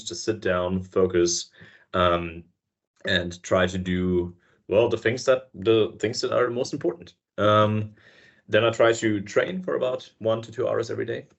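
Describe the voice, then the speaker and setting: A man guest on a podcast who explains his work fluently and step by step, in a relaxed, conversational way. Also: lowish voice, normal speed, creaky. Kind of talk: casual interview chat. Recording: dull lo-fi sound.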